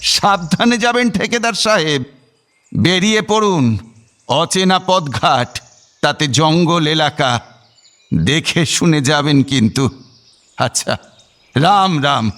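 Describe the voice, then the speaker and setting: An actor playing a sly village schoolmaster in an audio drama, unhurried and falsely courteous, veiling threats in politeness. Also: male voice, on the high side, expressive, fairly bright tone. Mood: mocking courtesy.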